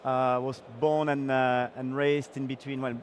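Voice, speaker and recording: A middle-aged man from Belgium giving a startup founder's pitch, hesitant and full of fillers and restarts. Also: flat delivery, a little slow, slight background noise.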